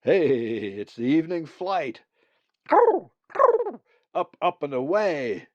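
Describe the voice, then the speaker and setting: A man's voice: fairly high pitch, lively intonation, slow pace, a little mellow, no room sound, pitched above the performer's own voice. A man voicing a blunt, sly, hungry male raven in a storybook, with emphatic, folksy talk. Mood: hearty, urging.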